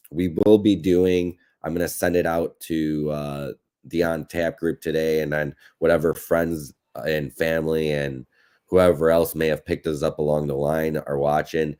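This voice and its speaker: Very deep-voiced American sports co-host man. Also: little pitch movement, measured pace, warm tone, smooth. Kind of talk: casual college football chat.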